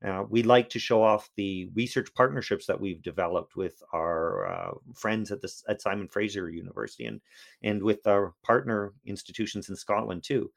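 A man's voice: on the low side, sing-song, normal speed, gravelly. A man, a history professor, answering interview questions in a thoughtful way with frequent restarts.

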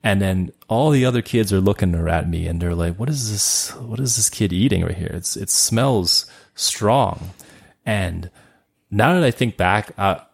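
A man, his Cantonese. His voice sits very low and has a gravelly fry. He chats loosely as a guest, repeating words and padding with fillers. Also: a little slow. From Canada. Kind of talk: casual interview chat.

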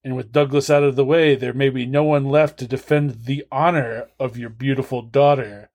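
Wry man with a medium-pitched voice, podcasting. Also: steady tone, normal speed, a little mellow. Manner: wry, irreverent. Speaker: podcast co-host. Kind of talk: casual, joking film discussion.

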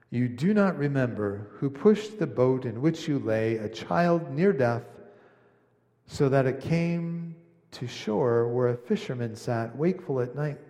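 A man voicing a solemn, gentle, all-knowing great lion, speaking slowly and gravely as he reads a storybook aloud. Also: medium pitch. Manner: slow, grave, measured delivery. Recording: long reverb of a large hall.

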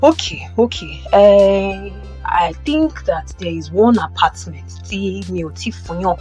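A woman's voice: mid-range voice, lively intonation, unhurried, darkish tone, soft background music, natural voice. A woman plays a Nigerian housing agent, a businesslike man in an audio drama. He is pushy and persuasive, starting sentences with small hesitations. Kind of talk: audio drama acting, Yoruba-English phone conversation.

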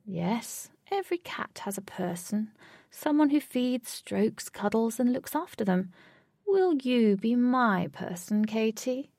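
A woman reads as a giant fat storybook cat, sweet and endearing. The voice is lifted and soft-toned, with a little creak in it. It sounds lonely, earnest and very affectionate. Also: a little high, animated, takes it slowly, dry recording, clean studio sound, full character voice. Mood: devoted. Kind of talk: storybook character voice.

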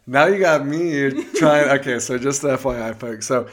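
A man with a gruff voice co-hosting a faith podcast in an easygoing, joking chat full of fillers. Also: mid-range voice, normal speed. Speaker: podcast co-host.

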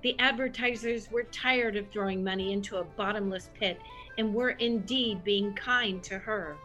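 A woman's voice: mid-range voice, normal speed, bright, forceful tone, soft background music, lightly acted, poor audio. A woman playing the dramatic narrator of an old-style radio serial, with theatrical scripted delivery. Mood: knowing.

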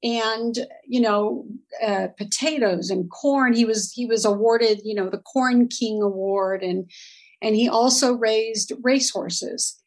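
A middle-aged woman from Southern California talks through her family background as an interview guest. Her voice sits a little high, and she chats loosely, laughing and stumbling over restarts and fillers. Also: fairly even intonation, slow pace, darkish tone, poor audio. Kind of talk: casual interview storytelling.

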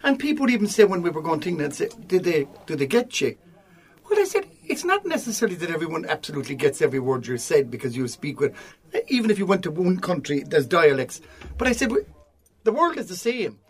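An Irish man chatting as a podcast guest, talking fast with lots of swing in his pitch. He is expressive and swears casually, like a seasoned comedian at ease. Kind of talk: casual chat.

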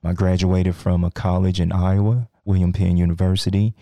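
A man in a podcast studio interview who talks haltingly, with many ums and restarts. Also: sits low, level tone, vocal fry, dark tone, dry recording. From Nigeria, raised in Texas. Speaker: guest, crypto trader.

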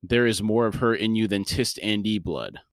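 A man voicing a menacing giant demon lord who speaks in a formal, even-tempered way, as in an audiobook. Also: fairly low pitch, normal speed, no room sound, studio quality, natural voice.

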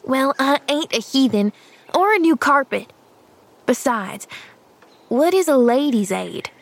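A ragged little boy from a storybook, played by a woman reader. He is proud and defiant, speaking in a fairly high but gruff voice with sullen, folksy, ungrammatical phrasing. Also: measured pace. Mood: sullen, indignant. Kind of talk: audiobook character voice.

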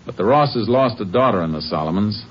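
An American actor playing a calm, determined Texas Ranger investigator in a 1950s radio drama, with terse, matter-of-fact delivery. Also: male voice, fairly low pitch, brisk, lightly acted. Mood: businesslike, probing. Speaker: lead actor in radio western. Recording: noisy, muffled old 1950s radio recording.

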